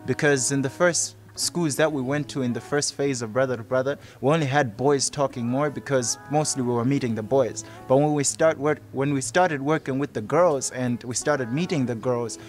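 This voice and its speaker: A man in his twenties speaks at a middling pitch, explaining and reflecting as in a documentary interview. Faint music plays under his voice. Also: normal speed, hardly any pauses. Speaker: youth theatre project facilitator.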